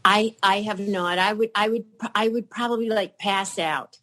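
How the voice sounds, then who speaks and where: An older woman chatting as a podcast guest, rambling and halting, with many fillers and restarts. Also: medium pitch, fairly even intonation, a bit bright. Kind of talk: casual chat.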